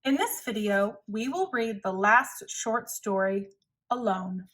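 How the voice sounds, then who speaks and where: A woman narrating a children's storybook aloud in a measured, clearly phrased way. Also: medium pitch, a bit bright. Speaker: storybook reader.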